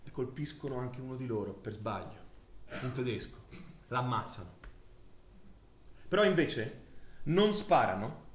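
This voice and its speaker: Man acting grim massacre survivor, halting testimony, stage drama, emphatic repetition, echoey room, background hiss. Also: medium pitch, lively intonation, natural voice. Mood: grim, insistent. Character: male survivor giving grim eyewitness testimony of a wartime massacre. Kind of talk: theatrical documentary monologue.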